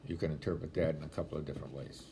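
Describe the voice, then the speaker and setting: A man in his eighties with a deep voice, speaking as a deacon in a homily-style talk, telling stories with gentle dry humor, filmed on a laptop webcam at home. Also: steady tone, racing, slight background noise. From Rochester, New York area. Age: 80s. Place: home room, indoors. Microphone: laptop webcam at close range.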